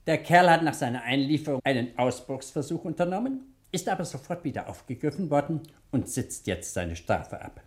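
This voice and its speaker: A man's voice: a little high, big pitch swings, fairly quick, few pauses. A man playing a self-assured older judge and soothing uncle in an old-fashioned radio drama. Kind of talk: 1950s-style German radio drama acting.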